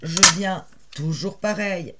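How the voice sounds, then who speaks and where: Raspy woman with a cold, casual tutorial host talking through her crafting as she works. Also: lowish voice, takes it slowly, very noisy. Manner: chatty, many "euh" fillers, explains while working. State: has a head cold.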